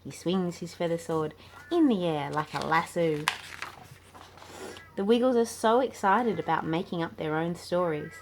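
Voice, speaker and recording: A woman reading a children's storybook aloud in an animated, expressive and playful way. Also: lowish voice, measured pace, background noise. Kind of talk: read-aloud children's storybook narration with acted dialogue.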